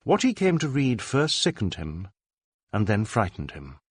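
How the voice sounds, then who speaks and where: A man narrating a detective novel as an audiobook, with measured, dramatic storytelling. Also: lowish voice, wildly swooping pitch, slightly rough, dry recording, studio quality.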